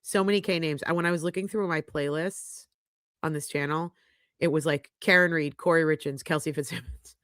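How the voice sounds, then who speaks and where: A woman's voice: deep voice, steady tone, brisk, a bit bright, no echo, clean studio sound. A middle-aged woman hosting a livestream, congested and sniffly, chatting frankly as she reads viewer comments aloud. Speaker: podcast host reading live chat. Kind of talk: casual livestream chat. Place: home podcast studio. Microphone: studio microphone.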